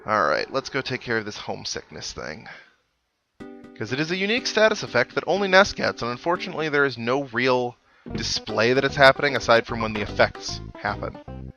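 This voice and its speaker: A man's voice: mid-range voice, big pitch swings, a little fast, gravelly, sharp tone. A young man giving casual, rambling, self-deprecating gameplay commentary with video game music behind him. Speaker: video game let's player.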